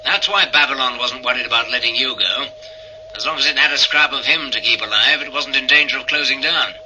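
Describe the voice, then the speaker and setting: A hunted spacer with little patience, giving terse, blunt reports. The pitch is medium and the style is vintage sci-fi radio drama, over clearly noisy tape. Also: male voice, a little fast, pushed and bright. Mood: grim, terse.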